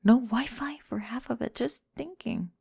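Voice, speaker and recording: A young woman playing a guarded, flustered college student in an intimate ASMR roleplay, speaking softly and haltingly, often slipping into whispers. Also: on the high side, vocal fry, breathy edge, dry recording, clean studio sound, heavily acted. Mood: flustered, complaining. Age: young adult. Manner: halting, sighing, self-conscious. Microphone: close microphone, dry.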